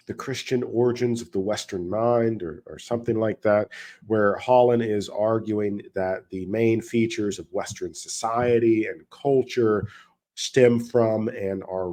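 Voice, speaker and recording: Nasal man with a cold, mellow voice. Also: on the low side. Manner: measured, explanatory, repeats phrases for emphasis. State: getting over a cold, a little nasal. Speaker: history and philosophy educator. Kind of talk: commentary reviewing a video clip.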